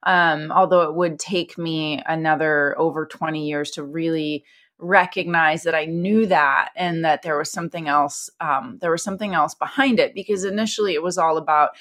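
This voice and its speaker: Chatty lower-pitched woman guest. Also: fairly even intonation, normal speed, a bit bright. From North America. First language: Italian. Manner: chatty with many fillers, voices others in quotes. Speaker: guest, investor and entrepreneur. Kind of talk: casual conversational storytelling.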